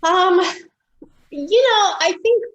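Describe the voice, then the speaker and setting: A young woman from Peru chatting as an interview guest, talking freely with many restarts and quick laughs. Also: very high voice, highs cut off. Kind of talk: casual interview chat.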